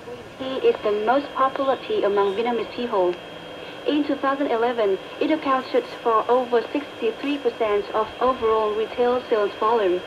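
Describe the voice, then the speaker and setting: A high-pitched woman reads scripted radio news and features as a presenter. Her voice comes through very noisy shortwave reception, with loud hiss and static throughout. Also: fairly even intonation, normal speed, muffled recording. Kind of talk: scripted news and magazine reading.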